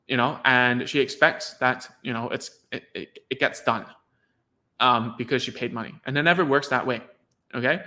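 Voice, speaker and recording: Bright-voiced man, frank and rambling. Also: medium pitch. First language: Cantonese. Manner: frank, rambling, heavy use of "like" fillers. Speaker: speech therapist. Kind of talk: casual advice monologue to parents.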